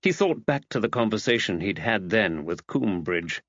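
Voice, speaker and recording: A man narrates a literary audiobook in a measured, expressive way. His pitch is middling but moves about a great deal, and the recording is dry and slightly dull. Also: dry recording.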